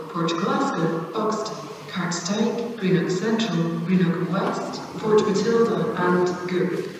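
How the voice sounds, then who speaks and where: A woman making formal automated railway station announcements in a measured, formulaic way over a public address system. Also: mid-range voice, slight background noise. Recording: echoey station PA, long reverb, distant mic.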